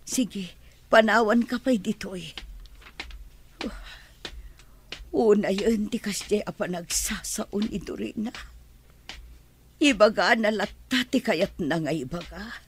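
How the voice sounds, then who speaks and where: A Filipino actress in a radio drama playing a patient, kindly household servant, speaking softly and deferentially. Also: female voice, mid-range voice, a little slow, a little hiss or hum, close to an everyday voice. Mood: hurt, meek.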